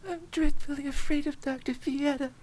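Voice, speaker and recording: A woman's voice: high-pitched, steady tone, normal speed, a little mellow, no room sound, lightly acted, low-quality recording. A woman playing a sickly, frightened boy lord in a radio drama, pleading and anxious, sometimes whispering. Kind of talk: amateur radio drama acting.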